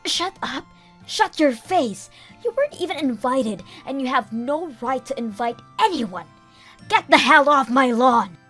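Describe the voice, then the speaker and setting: A woman's voice: sits high, animated, a little slow, fairly bright tone, faint music behind, voice pushed up. A woman voicing an explosive, bitingly sarcastic, fed-up sister-in-law in a manga dub. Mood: furious. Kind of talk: manga dub character acting.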